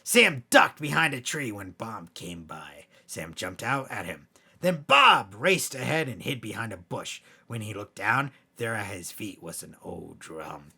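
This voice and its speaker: A man with a gravelly, raspy voice reading scary short stories as scripted narration. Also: on the low side, big pitch swings, measured pace, sharp tone. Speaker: storyteller.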